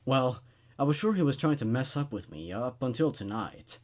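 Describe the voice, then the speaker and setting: A man hosting a horror story reading, narrating in an expressive, conversational, laid-back way. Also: mid-range voice, moderate pace. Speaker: host reading horror stories aloud.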